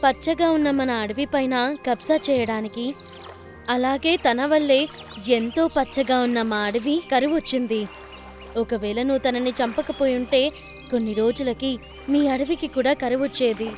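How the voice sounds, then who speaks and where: A woman plays a kind young mermaid in a children's cartoon. The voice is high and earnest, as if explaining. Light music plays behind it. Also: normal speed, lightly acted.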